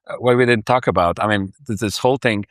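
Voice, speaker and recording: A man's voice: lowish voice, fast, fairly bright tone, dry recording. Guy talking data consulting, lots of uhs and restarts, dry close sound. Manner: hesitant, many restarts and fillers. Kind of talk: casual business conversation.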